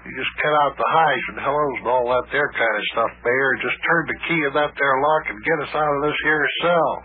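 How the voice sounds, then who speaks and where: A man's voice: mid-range voice, brisk, narrow, tinny audio, close to an everyday voice. A man acting a grumbling, self-pitying cab driver turned private eye in an old-time radio comedy, lamenting in a melodramatic way. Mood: grumpy. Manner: woeful, melodramatic, repetitive lamenting. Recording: thin, noisy old radio recording.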